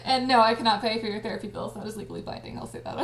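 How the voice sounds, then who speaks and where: A giggly, excitable woman chatting casually on a livestream, often breaking into laughter. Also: fairly high pitch, racing, echoey room. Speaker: audio drama creator and cast party host. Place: indoors on a group livestream call.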